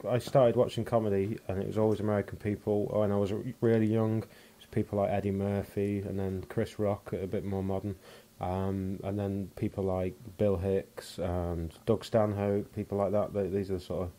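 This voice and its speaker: A man from England with an English accent, speaking in a fairly low voice. He chats as a guest in a casual podcast, dry and self-mocking, and he swears freely. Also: flat delivery, moderate pace, hardly any pauses, dark tone. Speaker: stand-up comedian guest.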